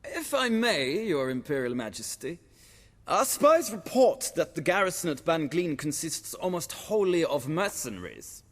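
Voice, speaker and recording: An eager imperial officer in a fantasy video game. His fairly high voice swings animatedly, formal and respectful, in a reverberant hall. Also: very animated, unhurried. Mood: deferential, brisk. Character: deferential, eager male imperial military officer.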